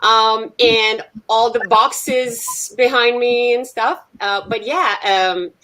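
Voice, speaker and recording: A woman's voice: on the high side, fairly even intonation, slow pace, fairly bright tone, slight background noise, rough recording. A middle-aged woman from Serbia, a native Serbo-Croatian speaker, chatting as a singer-songwriter guest from her garage studio, hesitant with many fillers. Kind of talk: casual interview chat.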